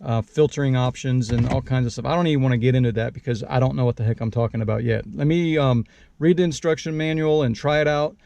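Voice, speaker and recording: A man's voice: mid-range voice, fairly even intonation, fast, vocal fry, warm tone, slight background noise. A man giving a casual, unscripted product walkthrough as a channel host, in a hesitant, rambling way with frequent repeated words.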